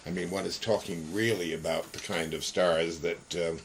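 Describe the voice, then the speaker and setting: A man's voice: low-pitched, steady tone, normal speed, vocal fry. A mature man conducting a conversational interview, effusive and wordy, with circling phrases. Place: photographer's home studio office. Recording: noisy old interview tape.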